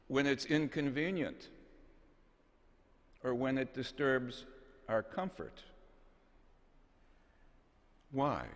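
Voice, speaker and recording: Male bishop, 60s, measured homily delivery, slow and deliberate, big reverberant cathedral sound. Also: medium pitch, fairly bright tone. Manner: measured, solemn, deliberate phrasing with pauses. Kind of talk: homily, read from notes. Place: large cathedral, at the pulpit. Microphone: gooseneck pulpit microphone.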